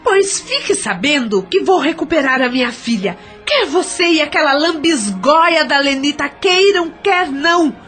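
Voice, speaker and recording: A woman's voice: high-pitched, lively intonation, measured pace, hardly any pauses, a bit bright, soft background music. A woman playing a vindictive, seductive possessed mother in an audio drama, haughty and insistent. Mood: defiant.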